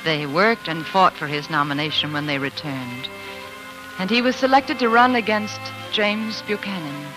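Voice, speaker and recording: An actress playing a devoted, determined politician's wife in an earnest, articulate 1940s radio drama style. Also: female voice, fairly low pitch, moderate pace, over music, close to an everyday voice, low-quality recording. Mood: reflective, dramatic. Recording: vintage 1940s radio recording.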